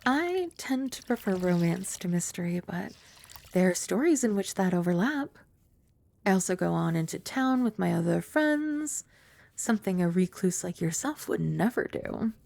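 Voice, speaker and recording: A young woman performs a poised young maid who speaks in formal, courteous phrases and slips in dry, gentle teasing. Her voice is mellow and dark with a gravelly fry running through it. It is recorded close and dry, with no room sound at all. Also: lowish voice, animated, moderate pace, dry recording. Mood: calm. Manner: soft-spoken, dropping to whispers at times. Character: composed, dryly teasing young female maid and childhood friend. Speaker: ASMR roleplay performer. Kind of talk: ASMR roleplay.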